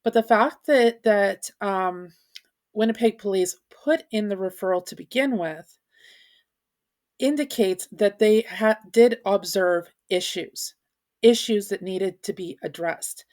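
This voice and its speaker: A woman's voice: mid-range voice, slow, darkish tone. A woman giving informal, argumentative commentary, speaking emphatically and posing rhetorical questions. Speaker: video commentator, parent advocate.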